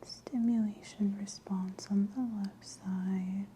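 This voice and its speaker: A woman speaking in a gentle, breathy whisper, soothing and reassuring, as an ASMR practitioner giving a calm treatment. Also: mid-range voice, slow pace, slight background noise. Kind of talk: soft-spoken ASMR scalp exam roleplay.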